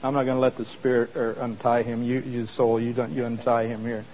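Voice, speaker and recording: A man's voice: mid-range voice, little pitch movement, a little fast, big-room sound, highs cut off. A man preaching a sermon in a conversational way, asking the congregation questions as he explains scripture. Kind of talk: sermon with live demonstration.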